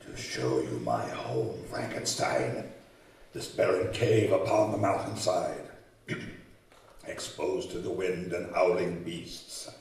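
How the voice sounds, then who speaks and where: A man's voice: low voice, takes it slowly, gravelly, a little mellow, heavily acted. A man playing a lonely, menacing giant monster in old-time radio horror style, solemn and declamatory, pleading and bitter. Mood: bitter, brooding. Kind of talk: old-time radio horror acting before a live audience. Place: community center hall, live stage performance. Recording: echoey hall, distant stage microphone.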